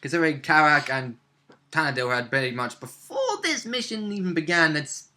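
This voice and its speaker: Very bright-voiced man recapping a tabletop game. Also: fairly high pitch, animated, a little fast. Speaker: tabletop role-play player recounting a session. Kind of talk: casual chat recap of a game session.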